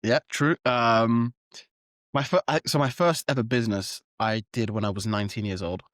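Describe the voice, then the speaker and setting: A young man from London with a British accent, a startup founder talking in a relaxed, hesitant way as an interview guest. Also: mid-range voice, moderate pace, no echo, clean studio sound. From born in France, grew up in London. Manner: relaxed, hesitant, many ums and restarts. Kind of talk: casual interview.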